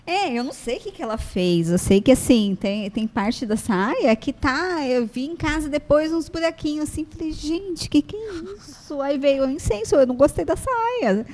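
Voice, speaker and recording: A woman's voice: high-pitched, lively intonation, moderate pace, few pauses, darkish tone. Self-mocking Brazilian woman storyteller. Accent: Brazilian Portuguese. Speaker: guest, shop owner. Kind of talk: casual chat, telling anecdotes.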